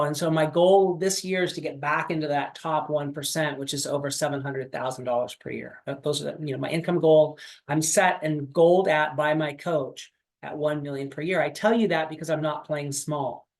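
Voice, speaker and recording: A man in his late forties leading a weekly motivational sales-coaching call, talking in a repetitive, encouraging way with frequent fillers. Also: on the high side. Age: 40s. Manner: coaching, repetitive, pep-talk with many fillers.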